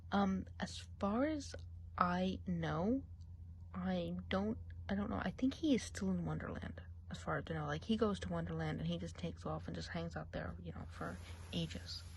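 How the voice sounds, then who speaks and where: A woman playing a gossipy witch of the woods who dips in and out of whispers. She keeps her voice soft and close, as in an ASMR role play, and she draws her instructions out slowly and carefully. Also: on the low side, vocal fry, slight background noise.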